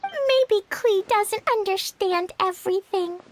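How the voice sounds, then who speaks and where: A woman voicing a sweet, innocent little girl in a video game cutscene, sincere and simple in manner with a soft childlike voice. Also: very high-pitched, slow pace. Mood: innocently questioning. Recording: soft music underneath.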